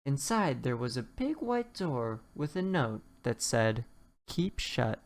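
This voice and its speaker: A narrator reading a rhyming children's storybook aloud in an expressive storytelling way. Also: medium pitch, a little slow. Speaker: children's book reader.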